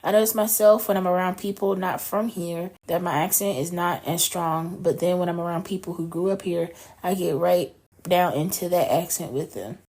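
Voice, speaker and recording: A woman's voice: fairly low pitch, fairly even intonation, moderate pace, a little mellow. A woman from North Carolina co-hosting a casual podcast, reading news items aloud and then riffing on them in chatty conversation. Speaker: podcast co-host.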